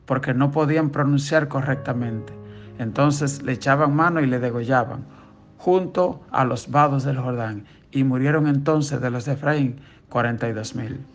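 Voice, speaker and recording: A man with a southern Dominican accent, a pastor giving a daily devotional sermon, reading scripture and explaining it in a conversational preaching tone. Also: mid-range voice. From southern Dominican Republic.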